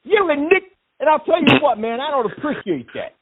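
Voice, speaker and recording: A man's voice: very high voice, very animated, fairly quick, heavily acted. A man playing a brash, profane caller persona who repeats himself and hurls insults. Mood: combative. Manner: repetitive, profane, trash-talking. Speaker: prank caller. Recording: tinny phone line.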